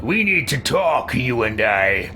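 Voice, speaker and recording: A man's voice: medium pitch, big pitch swings, takes it slowly, noisy background. A man streaming a game and reading dialogue aloud in character. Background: game music.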